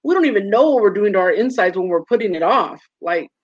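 A woman's voice: mid-range voice, lively intonation, fast talker. A woman in her forties speaks earnestly on a live stream and tells personal stories. Her voice is slightly muffled and dull, as if from a weaker mic. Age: 40s. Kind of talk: casual live stream chat.

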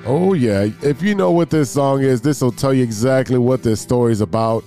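A man's voice: mid-range voice, a little fast, music in the background. Nasal-sounding man hosting. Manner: rambling, jokey, with restarts. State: stuffy nose from allergies. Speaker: podcast host. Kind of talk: casual sports and news chat.

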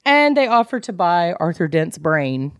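A woman's voice: on the low side, sing-song, a little slow, clear, resonant tone, dry recording, studio quality. An American woman co-hosting a podcast, chatting casually about books in a blunt, joking, irreverent way. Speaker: podcast co-host. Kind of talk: casual book club chat.

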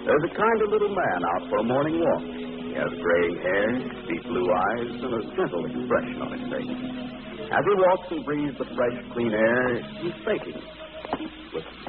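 A man narrating a scripted 1940s radio drama as announcer, with dramatic, measured storytelling. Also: medium pitch, sing-song, over music. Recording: tinny old radio recording, clear noise.

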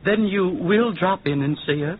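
A man's voice: sits high, normal speed, husky. An actor in an old-time radio drama playing a polite, deferential younger man, on a muffled, noisy recording.

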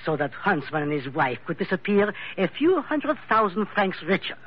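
A suave French investigator in a vintage radio thriller. His voice is high and husky, with a French accent and formal, courteous phrasing that swoops up and down. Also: sing-song, normal speed, exaggerated voice. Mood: explaining. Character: suave, suspicious Frenchman, insurance investigator with a pistol. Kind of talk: old-time radio drama acting. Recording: dull vintage radio recording.

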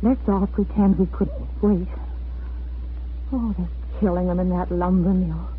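A woman's voice: on the low side, fairly even intonation, a little slow, slight background noise, natural voice. Wartime mother played by a woman: earnest, defiant, protective, dramatic 1940s radio style, phone-like vintage sound. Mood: weary, gentle. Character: anxious, protective, defiant wife and mother under occupation.

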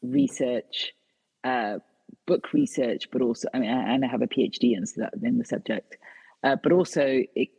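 A woman's voice: a bass voice, normal speed, vocal fry, mellow, breathy edge, big-room sound, rough recording. A British woman, an author and guest, answering interview questions with many fillers and restarts. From Britain.

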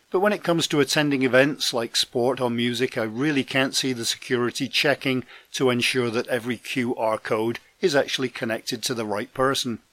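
A man hosting a podcast news roundup, his voice deepened by a head cold, reading from a script with dry, self-deprecating asides. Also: fairly bright tone, no echo. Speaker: podcast host and trade magazine editor. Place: home in Scotland.

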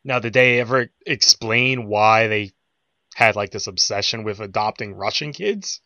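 A man's voice: lowish voice, a little slow, bright, forceful tone, dry recording. Joking male interviewer, dull lo-fi sound. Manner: joking, rambling questions with fillers. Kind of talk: casual interview.

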